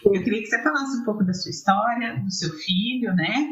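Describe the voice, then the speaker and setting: A woman's voice: medium pitch, brisk, a bit bright. A woman hosting a video-call interview, speaking with frequent hesitations and fillers. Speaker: host. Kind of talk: interview chat. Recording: echoey dull-sounding room.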